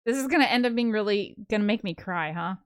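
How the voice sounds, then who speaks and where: A woman's voice: medium pitch, expressive, fast, clean tone, dry recording. A woman reading a visual novel aloud, slipping into casual, chatty commentary with giggly asides. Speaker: co-host of a gaming show.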